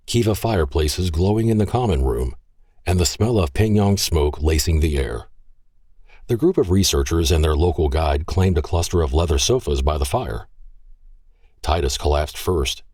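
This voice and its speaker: A man giving expressive scripted narration for an audio drama. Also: low-pitched, husky, no room sound, clean studio sound. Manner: expressive, shifting into character voices.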